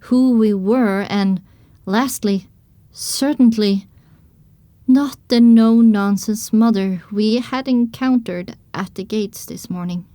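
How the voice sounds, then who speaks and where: A woman reading scripted first-person narration as the heroine of an audio drama, recounting her dark adventure. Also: medium pitch, no echo, natural voice.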